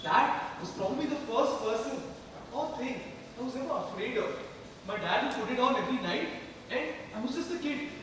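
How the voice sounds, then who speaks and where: A man's voice: extremely high pitch, lively intonation, fairly quick. A man acting in an amateur stage play as a chatty, earnest teenage friend, speaking in a projected, conversational way. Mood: nostalgic, chatty. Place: large indoor theater hall stage. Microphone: distant camera or room microphone. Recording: echoey hall from far off, noisy.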